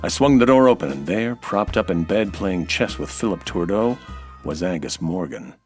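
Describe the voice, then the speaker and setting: A low-voiced, wry American tough guy narrates and talks like an old radio noir sleuth. He is dry and slangy, with music playing clearly behind him. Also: lively intonation, moderate pace, darkish tone. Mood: wry narrating. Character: cool, wry American nightclub owner turned hardboiled sleuth.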